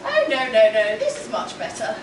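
Shrill, melodramatic pantomime dame. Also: female voice, huge pitch swings, normal speed, noisy background. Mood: breezy, boastful. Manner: theatrical, gushing, exaggerated. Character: pregnant, melodramatic, doting pantomime dame mother. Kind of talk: broad pantomime stage acting. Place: on stage in a small theatre. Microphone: far camera from the audience. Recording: distant, echoey theatre hall with music.

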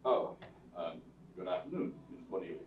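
A man's voice: low voice, big pitch swings, a little fast. Man playing a courteous butler, tinny echoey stage. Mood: polite, reserved. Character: courteous, dignified butler with a wry streak. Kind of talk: radio theatre acting. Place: theatre stage before an audience. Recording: distant echoey stage, tinny, faint noise.

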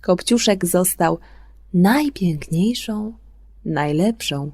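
Slow, expressive woman storyteller, lowish voice. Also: slightly rough, no room sound. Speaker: storyteller on a children's radio show. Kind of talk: scripted fairy-tale narration.

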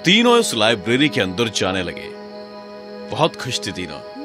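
A male storyteller narrates a horror cartoon at a middle pitch, his voice rising and falling a lot. Loud music runs under the whole narration. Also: wildly swooping pitch, moderate pace.

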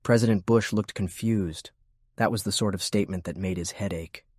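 A man's voice: fairly low pitch, normal speed, a little mellow, husky, no room sound, studio quality. A man reading scripted narration as an audiobook narrator.

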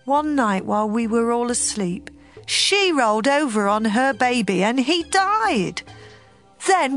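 High-voiced anguished young mother. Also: fairly bright tone. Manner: emotional, pleading. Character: anguished young mother pleading before a king. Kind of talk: dramatized scripture audio drama.

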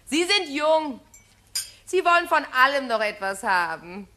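An actress playing a brisk, bossy new widow with a dry, irreverent manner in a 1950s radio drama. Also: female voice, sits high, big pitch swings, bright, forceful tone, heavily acted. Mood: flippant, dry. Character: newly widowed shop owner, brisk, cool, irreverent lady. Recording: faintly noisy 1958 radio recording.

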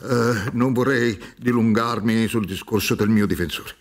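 An older actor playing a solemn defendant who confesses to murder in a radio drama, declaiming emphatically and theatrically. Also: male voice, fairly low pitch, a little slow. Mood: indignant, earnest. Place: dark theatre stage, reading from script. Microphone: studio microphone with headphones.

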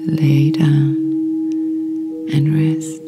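Female meditation guide: low, hushed and breathy with creaky edges, soothing short phrases and long pauses over clear music. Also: completely flat delivery. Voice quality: breathy, often whispery. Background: meditation music with singing bowl tones.

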